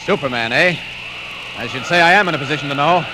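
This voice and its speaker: An actor playing a confident, resolute superhero who thinks aloud in clipped phrases in an old radio serial. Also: male voice, on the high side, natural voice. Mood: determined. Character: heroic, resolute male superhero, brisk and confident. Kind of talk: 1940s radio serial acting. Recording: very noisy old radio recording, rushing sounds.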